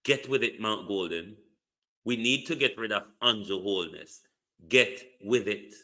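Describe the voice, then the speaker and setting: A man's voice: on the low side, unhurried, bright, forceful tone. A man giving an emphatic political commentary monologue, full of repeated rhetorical questions. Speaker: online commentator.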